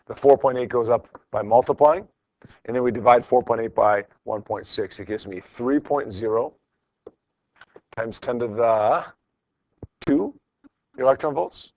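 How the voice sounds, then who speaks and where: Middle-aged man as an encouraging, informal schoolteacher; mid-range pitch, lecturing with repeated phrases, captured slightly muffled. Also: unhurried, pauses often, darkish tone, no echo. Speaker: high school physics teacher. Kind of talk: classroom lecture, working through problems with students. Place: classroom with students.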